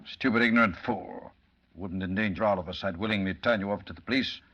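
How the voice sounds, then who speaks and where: Sharp, imperious gang boss. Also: male voice, lowish voice, quick pace. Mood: scornful, angry. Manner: haughty, exasperated, articulate. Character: middle-aged, distinguished, cunning, short-tempered male gang boss. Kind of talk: 1940s radio acting. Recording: muffled old-time radio recording.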